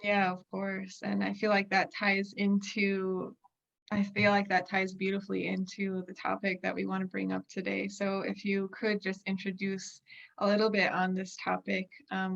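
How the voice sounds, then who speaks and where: A woman's voice: medium pitch, gravelly, a bit bright, highs cut off. A woman in her twenties hosting a podcast in a conversational way over a video call. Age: 20s. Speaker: podcast host. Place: indoor room.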